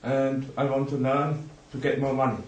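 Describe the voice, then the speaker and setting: A man's voice: medium pitch, monotone, normal speed, dull audio. A man in his twenties from Austria introducing himself in plain words. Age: 20s. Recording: echoey room from a distance.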